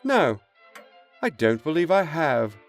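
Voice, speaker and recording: A man's voice: on the high side, sing-song, slow pace, creaky, heavily acted. Man acting deferential old manservant, lilting delivery. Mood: deferential, affectionate. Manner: polite, formal, deferential. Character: loyal older manservant, deferential and gentle. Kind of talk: audio drama acting. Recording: music underneath.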